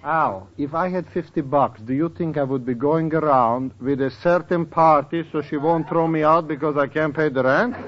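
An actor playing a penniless professor neighbor in an old radio comedy, dry and full of teasing jokes. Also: male voice, a little high, normal speed, hardly any pauses, lightly acted. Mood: dryly teasing. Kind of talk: 1940s-50s radio comedy acting. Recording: muffled old radio recording, faint hiss.

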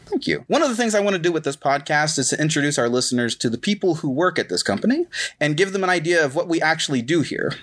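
A man's voice: a little high, big pitch swings, fast, a bit bright. A man hosting a casual podcast, chatting in a playful, mock-formal joking way. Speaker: podcast host.

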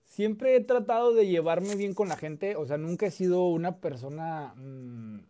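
A man from Mexico with a Mexican accent, a guest telling relaxed, chatty stories with many fillers and repeated words. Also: a little high, unhurried. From Mexico (Coahuila). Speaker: guest, content creator. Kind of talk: casual storytelling chat.

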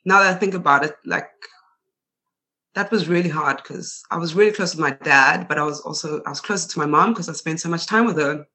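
A young woman with a South African accent talks in a relaxed interview. Her voice is bright and lively, and she laughs often and fills pauses with casual chatter. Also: sits low. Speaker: interview guest.

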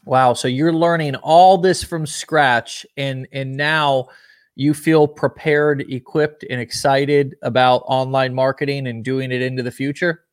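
A man hosting a casual online interview show, upbeat and energetic, often restarting and repeating words. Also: mid-range voice, unhurried. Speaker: host.

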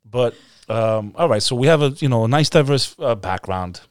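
A man's voice: mid-range voice, animated, moderate pace, smooth, dry recording, studio quality. A man with an Albanian-tinged American accent hosting a casual, chatty interview, joking and using street slang. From born in Texas, United States, to an Albanian family. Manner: jokey, uses street slang. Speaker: podcast host.

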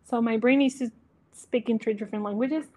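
A woman's voice: fairly high pitch, fairly even intonation, brisk, darkish tone. A woman from America, a podcast guest giving earnest, encouraging advice and sometimes searching for words. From Latin America. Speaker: podcast guest, interior designer. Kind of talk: casual chat, encouraging advice.

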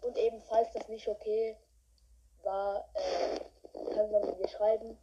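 Husky, dark-voiced rambling woman. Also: medium pitch, little pitch movement, slow. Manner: rambling, full of fillers. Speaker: podcast host. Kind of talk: casual podcast talk. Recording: dull lo-fi recording.

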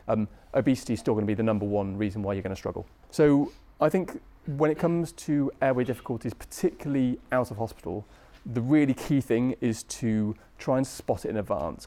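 Fast-talking British man giving a lecture. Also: mid-range voice, warm tone. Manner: conversational, frequent tag questions, colloquial asides. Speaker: medical lecturer, anaesthesia registrar. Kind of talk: informal teaching lecture with audience questions.

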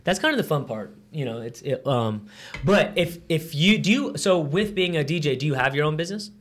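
A young man hosts a casual podcast. His voice sits a little high, and he talks in quick bursts. He teases his guests and swears freely. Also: animated, a bit bright. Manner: fast, joking, crude, teasing, lots of fillers. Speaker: podcast host.

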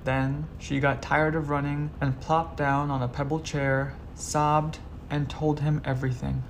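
A man's voice: fairly high pitch, level tone, a little slow, a little hiss or hum, low-quality recording. A man narrating a scripted whimsical story as a reader.

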